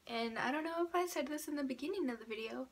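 A woman's voice: sits high, quick pace. An eighteen-year-old woman chatting casually to camera about herself in a small room, stuffed up from a cold, chatty and a little sarcastic. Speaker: vlogger introducing herself. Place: small indoor room by a door. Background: passing cars.

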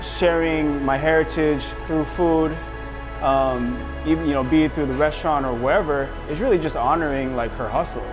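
A man's voice: on the high side, moderate pace, music in the background, low-quality recording. A man in his thirties who owns a restaurant, chatting in a laid-back way full of slang and fillers, recorded in a small restaurant. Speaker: restaurant owner. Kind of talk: casual conversation. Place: small restaurant with a painted mural wall.

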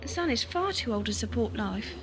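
A woman's voice: a little high, very animated, fairly quick, background noise. A woman acting in an audio drama as an earnest, reasoning young space traveller. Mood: uneasy, reasoning.

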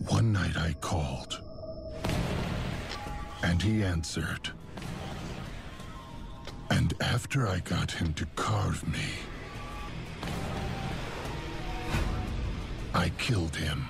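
A menacing, gloating serial killer from a horror video game. The voice is extremely gravelly and dragged out slowly, dropping into sinister breathy whispers. Loud music plays behind the monologue. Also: male voice, sits low, full character voice. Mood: bitter, menacing. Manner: slow, savoring, menacing delivery. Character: sadistic adult male serial killer clown, gloating, menacing.

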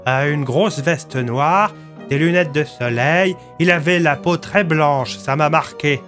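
A man's voice: fairly high pitch, slow pace, fairly bright tone, faint music behind, pitched above the performer's own voice. A man voicing a naive, dim-witted, chatty police sergeant in an audio story, hesitant and guileless. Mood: hesitant, earnest.

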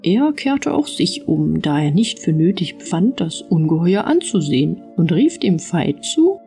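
A woman narrating an audiobook fairy tale in an expressive storytelling style. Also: on the low side, a little slow, soft background music.

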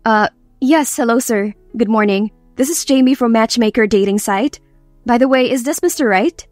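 A woman's voice: a little high, normal speed, darkish tone, no echo, lightly acted. A woman voicing a good-humoured young professional woman, a romance heroine, with a Filipino accent and a casual, wry manner. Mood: polite. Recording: music behind the voice.

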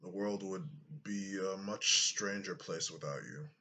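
Man, deep voice, very low pitch, slow, thoughtful, webcam vlog, small room. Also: bright, forceful tone. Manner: unhurried, thoughtful, hesitant with fillers. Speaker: YouTuber. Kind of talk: casual vlog commentary.

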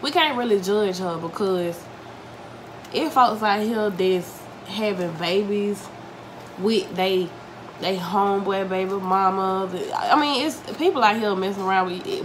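A woman's voice: medium pitch, normal speed, rough recording. Chatty young woman eating on camera. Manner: chatty, talks straight to viewers while eating. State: congested from a cold. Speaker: mukbang vlogger. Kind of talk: casual chat while eating. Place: home dining room, indoors. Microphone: camera mic at table distance. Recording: home recording with noticeable room noise.

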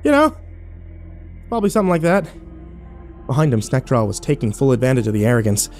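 Man playing wry, mellow-voiced horror protagonist. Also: mid-range voice, sing-song, quick pace, faint music behind. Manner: wry, sweary, self-deprecating. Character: sarcastic, battered young man telling his own nightmare. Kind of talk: first-person horror audio drama narration.

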